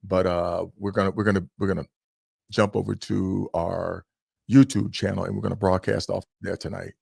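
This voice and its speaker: A man's voice: low voice, flat delivery, moderate pace, vocal fry, a little mellow, breathy edge, no room sound, clean studio sound. A man co-hosting a live podcast, upbeat and chatty, greeting viewers over and over. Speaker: podcast co-host.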